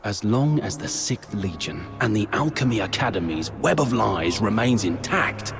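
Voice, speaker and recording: An actor playing a vengeful, persuasive and menacing demon rebel leader in a video game, speaking in a theatrical, oratorical manner. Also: male voice, lowish voice, big pitch swings, creaky, background noise. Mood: impassioned, vengeful. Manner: theatrical, oratorical, deliberate emphasis. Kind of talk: dramatic video game voice acting. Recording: music under the voice.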